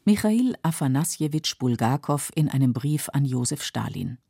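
A woman reading scripted documentary narration for a literary radio feature in a measured, factual, clearly articulated way. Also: rumbling low, very animated, mellow, no room sound, studio quality.

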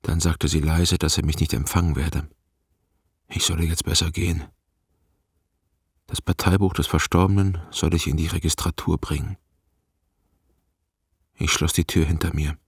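An old herdsman in a radio drama, looking back in sorrow. His voice is deep and husky, with some gravel in it. He narrates in a subdued, measured way. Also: fairly even intonation, no echo, clean studio sound, full character voice. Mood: subdued, heavy. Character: grieving old Kyrgyz herdsman recalling his life.

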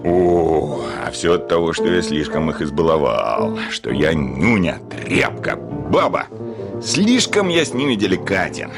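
Irascible landowner, low-pitched, hugely varied intonation, theatrical bluster, exasperated grumbling, radio comedy. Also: male voice, sing-song, a little slow, music in the background, full character voice. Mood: bitter, exasperated. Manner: theatrical grumbling, exclaiming, swinging from muttering to bellowing. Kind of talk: Russian classic comedy radio play acting.

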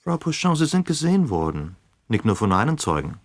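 An actor playing a composed, erudite interrogator in a German radio drama, deliberate and insinuating. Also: male voice, mid-range voice, big pitch swings, dry recording, studio quality.

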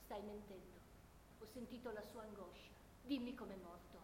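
A woman's voice: mid-range voice, normal speed, natural voice. A woman playing a grieving, insistent peasant mother of a dead soldier in an Italian radio play. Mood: anguished, pleading. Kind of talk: Italian radio theatre monologue and dialogue. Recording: echoey reverberant room, faint noise.

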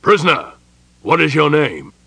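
A man's voice: medium pitch, takes it slowly, creaky, pushed and bright, no room sound, voice pushed up, exaggerated voice. A man voicing a stern, pompous elven general in a fantasy audiobook, barking his words in a commanding, portentous way.

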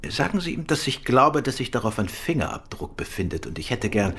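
A shrewd gentleman detective in a radio crime drama. His delivery is polite, probing and decisive, with very mobile pitch over clear background noise. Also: very animated, a little fast. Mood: thoughtful, polite. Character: shrewd, composed gentleman amateur detective, commanding. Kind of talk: German radio play acting.

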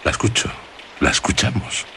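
An actor playing a grave, attentive gentleman in a radio drama, measured and probing. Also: slight background noise. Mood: grave, curious. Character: grave, attentive gentleman drawing out a strange tale.

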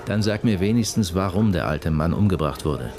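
An actor playing a sober, persistent police detective in a radio crime drama, speaking in a terse, probing way. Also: male voice, low voice, expressive, normal speed, creaky, a little mellow. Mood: probing, impatient. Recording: prominent music behind the voice.

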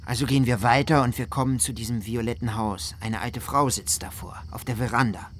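Schauspieler als männlicher Flutüberlebender, leichtes Hintergrundrauschen. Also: male voice, mid-range voice, fairly even intonation, fairly quick, slight background noise, natural voice. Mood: recounting, heartfelt. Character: male flood survivor, husband recounting hardship with feeling. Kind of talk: dramatized documentary monologue in radio drama.

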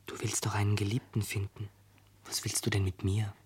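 An actor playing a sober, disillusioned youth in a radio play, speaking in a guarded, matter-of-fact whisper. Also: male voice, fairly low pitch, monotone, a little fast, breathy edge. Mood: sober, resigned. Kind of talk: 1970s German radio play acting.

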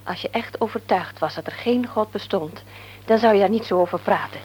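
A woman's voice: medium pitch, fairly quick, a little mellow, slight background noise, close to an everyday voice, poor audio. An actress playing a middle-aged devout mother in a radio play, pleading and often dropping to a whisper. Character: devout mother, gentle but firm in faith, middle-aged woman. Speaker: mother and wife.

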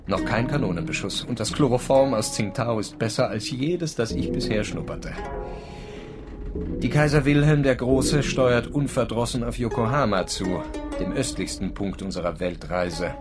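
An actor playing a world-weary, sardonic travel writer, speaking with dry literary irony in a radio drama. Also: male voice, medium pitch, moderate pace, natural voice. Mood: wry, weary. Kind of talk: radio drama narration and dialogue. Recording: music behind the voice.

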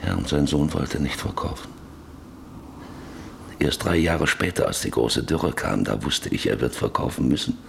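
A man's voice: rumbling low, animated, normal speed, gravelly, slight background noise, full character voice. An actor in a German radio drama playing a stern, domineering middle-aged farmer father who speaks bluntly and commandingly. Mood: brooding narration. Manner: commanding, blunt, cutting others short.

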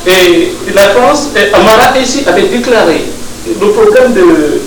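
A man from West Africa giving an informal talk to an audience, halting, with many restarts and fillers. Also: high-pitched, expressive. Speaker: speaker at a public discussion. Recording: distant mic in an echoey room with noise.

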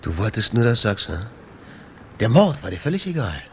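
An actor in a German radio drama playing a bitter jazz club owner who questions bluntly and accusingly. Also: male voice, lowish voice, very animated, normal speed, vocal fry, a little hiss or hum. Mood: disgusted, angry. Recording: roomy space with long reverb.

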